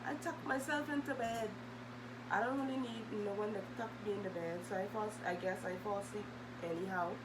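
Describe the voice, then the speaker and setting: A young adult woman, chatting casually and hesitantly as she answers questions for a vlog. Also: medium pitch. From Bahamas. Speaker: video host. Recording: loud humming background noise.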